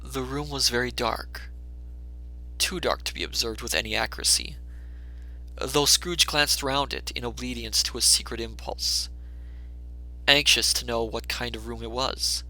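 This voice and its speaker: A man's voice: mid-range voice, fairly even intonation, unhurried, bright, forceful tone, poor audio. A man narrating a classic tale as a storyteller, in a dramatic, scripted reading style.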